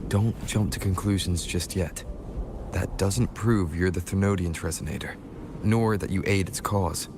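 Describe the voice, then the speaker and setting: An actor playing a calm, level-headed adventurer in a video game, with a composed, serious and reassuring delivery. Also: male voice, sits low, measured pace, noisy background. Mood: steady, reassuring. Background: loud game music.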